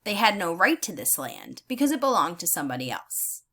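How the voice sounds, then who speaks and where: Female teacher for a read-aloud lesson: bright, forward tone, animated pitch movement, deliberate instructional phrasing.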